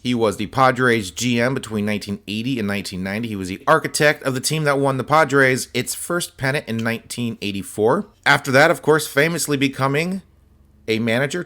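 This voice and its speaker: A man with a slightly hoarse, scratchy voice, chatting casually as host while showing autographed sports cards. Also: medium pitch. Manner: relaxed, chatty, many fillers and asides. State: slightly hoarse, voice partly lost. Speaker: host of a card-collecting channel.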